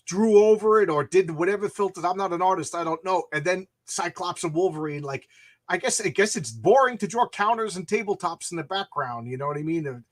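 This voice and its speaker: A man in his fifties with a slightly high voice hosts a casual live chat. He rambles from thought to thought and tells anecdotes with a joking, self-deprecating streak. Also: fairly bright tone. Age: 50s. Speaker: live stream host chatting about comics.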